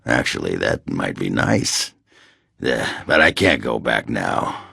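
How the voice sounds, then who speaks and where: An actor playing a gruff, crude, boozy male dwarf warrior in a video game, with a raspy growl and a coarse, blustering, grumbling manner. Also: very deep voice, sing-song, slow pace, pushed and bright, dry recording, clean studio sound, exaggerated voice. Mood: grudgingly wistful. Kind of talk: video game character dialogue.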